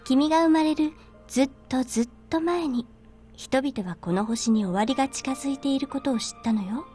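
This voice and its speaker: Gentle, solemn big sister with high voice, actress-played. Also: female voice, racing, faint music behind, close to an everyday voice. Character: gentle, solemn older sister consoling a small child. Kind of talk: Japanese visual novel voice acting.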